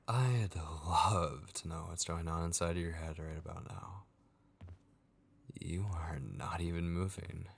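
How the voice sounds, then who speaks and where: A man voicing a sinister, possessive figure taunting a captive vampire in an ASMR horror roleplay, husky and often dropping into a whisper, coaxing with mock tenderness. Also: low voice, heavily acted. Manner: slow, coaxing, mockingly tender. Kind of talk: ASMR horror roleplay, intimate dramatic monologue.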